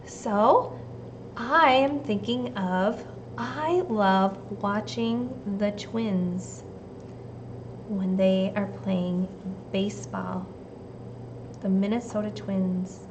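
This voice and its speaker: A woman from the United States reading a storybook aloud and giving children simple, deliberate instructions like a teacher. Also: mid-range voice, noisy background. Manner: deliberate, simple phrasing addressed to young children.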